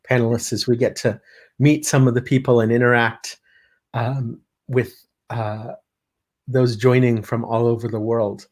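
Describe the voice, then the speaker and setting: A man hosting a live-streamed panel discussion, speaking haltingly with many pauses. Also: medium pitch, darkish tone. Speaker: host, panel moderator.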